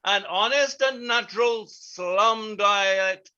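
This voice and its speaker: A man's voice: sits high, slow, dull audio, a crowd in the background. A man playing a self-important, opinionated playwright who lectures in a pompous, declamatory way in a staged reading. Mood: grandly lecturing. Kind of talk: theatrical monologue in staged reading.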